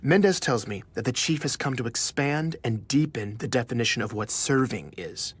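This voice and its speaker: A man's voice: mid-range voice, expressive, moderate pace, husky. An actor playing an earnest, easily awed male journalist who narrates his story in a scripted audio drama. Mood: earnest, reverent.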